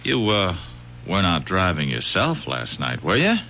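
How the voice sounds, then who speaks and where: An actor playing a shrewd, persistent police detective who probes with halting, folksy questions in a radio drama. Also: male voice, sits low, wildly swooping pitch, sharp tone, a little hiss or hum, full character voice. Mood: wheedling. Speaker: detective sergeant. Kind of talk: 1970s radio drama acting. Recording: dull lo-fi old radio broadcast.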